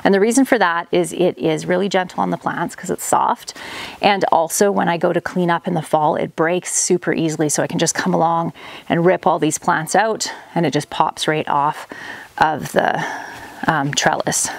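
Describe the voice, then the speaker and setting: A woman's voice: lowish voice, steady tone, moderate pace, vocal fry. A woman in her thirties chatting casually as she explains her gardening and beekeeping while she works, recorded close on a handheld camera in a greenhouse and a farmyard. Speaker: farm vlogger. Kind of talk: casual how-to vlog narration. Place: plastic greenhouse and outdoor farmyard.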